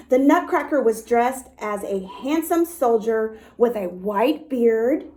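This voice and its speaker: A woman reading a story aloud to young children in an expressive way, with little asides to her listeners. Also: on the high side. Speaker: storytime reader for a dance academy.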